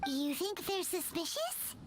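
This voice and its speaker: A tiny, eager video game fairy, with a squeaky, very high childlike voice that swoops with curiosity. Loud music plays behind her questions and exclamations. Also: sing-song, measured pace, exaggerated voice. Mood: curious. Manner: inquisitive, exclaiming.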